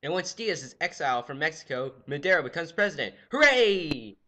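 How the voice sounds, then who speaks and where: A teenage boy giving a goofy, jokey history lecture, swinging from loud exclamations to quiet asides. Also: fairly high pitch, brisk. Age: teens. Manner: goofy, animated, jumps between loud exclamations and mutters. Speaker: student presenter. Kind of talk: comedic lecture with skits.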